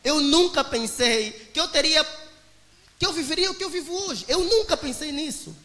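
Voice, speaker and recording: High bright Angolan man in church hall. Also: expressive, normal speed. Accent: Angolan Portuguese. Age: 20s. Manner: emphatic, preacher-like, addresses listeners as brothers. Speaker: young business owner giving a testimony. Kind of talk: motivational talk to a church congregation.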